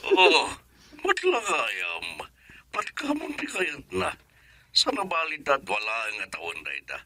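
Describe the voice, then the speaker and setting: A man's voice: on the high side, huge pitch swings, takes it slowly, pushed and bright, dry recording, full character voice. A man with an Ilocano accent playing a fond, teasing older father in a radio drama. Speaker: father character.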